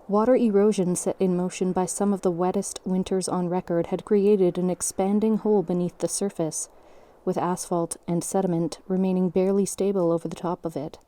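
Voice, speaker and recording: A woman playing a factual report reader in an audio drama, narrating in a measured, formal, impersonal way. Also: lowish voice, flat delivery, mellow, close to an everyday voice. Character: factual female narrator reading a sinkhole report.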